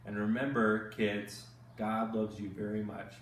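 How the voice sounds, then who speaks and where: A man hosting bedtime Bible stories for children, teaching gently with exaggerated, kid-friendly questions and repeated phrases. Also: fairly low pitch, level tone, a little slow, far from the mic. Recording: echoey room, off-mic, faint noise.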